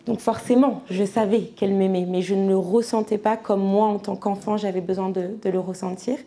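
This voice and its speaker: A woman's voice: medium pitch, fairly even intonation, fairly quick, warm tone. A woman in her thirties, from France, giving a personal church testimony in a conversational way with frequent hesitations. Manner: conversational, many fillers and restarts.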